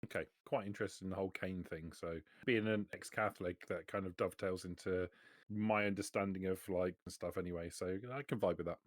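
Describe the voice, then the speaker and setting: A man's voice: deep voice, steady tone, fairly quick, no room sound, studio quality. A man playing a tabletop roleplaying game, talking casually in character in an offhand, laid-back way.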